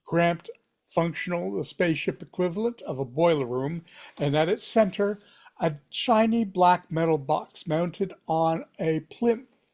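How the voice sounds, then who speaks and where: Higher-voiced man reading screenplay directions. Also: measured pace, darkish tone. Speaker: stage-direction reader at table read.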